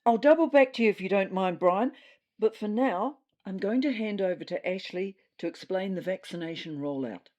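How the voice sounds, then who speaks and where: A woman plays an officious she-cat from a comedy podcast, with a New Zealand accent. She is pompous and commanding, in a mellow voice with lively pitch. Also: moderate pace, dull audio, natural voice. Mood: brisk, dismissive. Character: bossy, officious female cat laying down rules.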